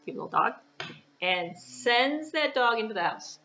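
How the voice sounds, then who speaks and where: A woman's voice: on the high side, expressive, unhurried, sharp tone, muffled recording. A woman telling a puppet show story to young children, in simple phrases with spoken sound effects.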